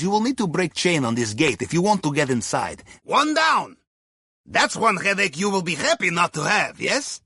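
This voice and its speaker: A man's voice: sits high, bright, forceful tone, slightly rough, dry recording, studio quality, full character voice. A man playing a wry, cheerful Russian submariner and heist planner in a video game, giving briefings in clipped phrasing with a Russian accent. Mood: wry, businesslike, then pleased. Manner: wry, clipped phrasing with dropped articles and tag questions. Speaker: mission guide.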